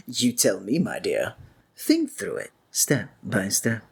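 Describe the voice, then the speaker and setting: A woman playing a flamboyant, sinister male stage magician in an ASMR roleplay, with breathy, honeyed, flowery theatrical speech that often drops into a whisper. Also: a bass voice, wildly swooping pitch, slow pace, darkish tone, full character voice. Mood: patient, teasing.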